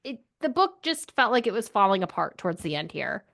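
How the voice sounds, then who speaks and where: Woman podcaster w a mid pitched voice, casual book club chat, kinda incredulous and opinionated. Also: sing-song, a little fast, gravelly, fairly bright tone.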